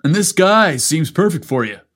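A man's voice: a little high, very animated, moderate pace, fairly bright tone, voice pushed up. A man playing an easygoing male firefighter and dad, casual, teasing and encouraging, in a story reading.

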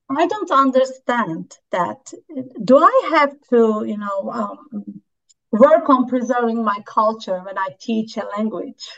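A woman's voice: a little high, vocal fry, a bit bright, highs cut off. A woman English teacher from Turkey with a Turkish accent, answering interview questions in a hesitant way full of fillers and restarts.

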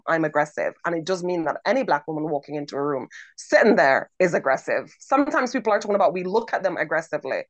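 A woman's voice: lowish voice, no echo. A woman co-hosting a podcast, talking emphatically with a big, strong voice and repeating words for emphasis. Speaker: podcast co-host. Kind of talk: conversational podcast commentary.

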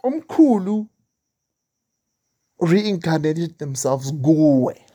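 A woman's voice: sits low, big pitch swings, extremely slow, mellow. A woman hosting a casual interview, mixing Zulu and English, with frequent affirmations and fillers. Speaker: host.

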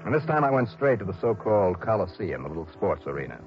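An actor playing a tough, principled American private investigator in an old radio drama, wry and hard-boiled. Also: male voice, low-pitched, very animated, quick pace, soft background music. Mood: wry narration. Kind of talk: 1960s radio detective drama acting and narration. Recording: muffled, hissy old radio recording.

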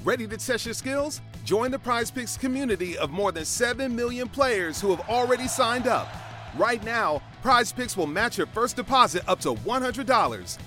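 A male commercial announcer with a high, bright voice giving an upbeat promotional read. Light music plays behind him. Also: expressive, moderate pace.

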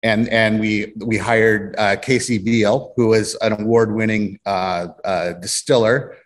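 A man's voice: lowish voice, steady tone, a little slow. A man from Illinois, a distillery co-owner, telling his story in a rambling, casual way during an interview. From Illinois, USA. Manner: rambling storyteller, repeats phrases.

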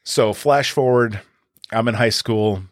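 A middle-aged man from Wisconsin, telling his story in a relaxed interview with many fillers and restarts. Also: slow pace, no room sound, studio quality. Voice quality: deep voice. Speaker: podcast guest. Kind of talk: casual conversational interview.

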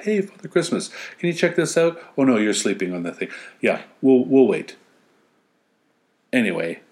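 A man reading a story as narration, with wry, playful asides. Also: on the low side. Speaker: story reader.

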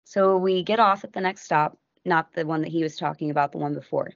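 An American woman, a podcast guest, telling a travel story in a casual, animated way that keeps breaking off and acting out what people said. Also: sits low, a little fast, creaky, no room sound. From United States. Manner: animated, self-interrupting, acts out quotes. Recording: dull lo-fi audio.

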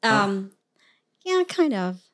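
A woman with a Filipino accent chats on a casual podcast, soft-spoken and hesitant. Her first language is Tagalog, and she often pauses and fills gaps as she searches for words. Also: medium pitch, big pitch swings. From Philippines. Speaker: podcast co-host. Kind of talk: casual chat.

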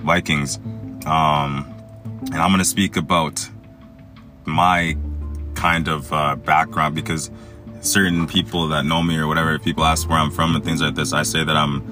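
A man from Egypt hosting a solo podcast, reading a text aloud and commenting on it in a halting way with many fillers and restarts. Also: rumbling low, little pitch movement. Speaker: podcast host. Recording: music playing underneath.

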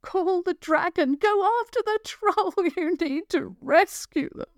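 A woman's voice: very high voice, lively intonation, slow, no room sound, clean studio sound, pitched above the performer's own voice, heavily acted. A woman voicing a frantic village mother in a children's story, urgent and pleading for rescue.

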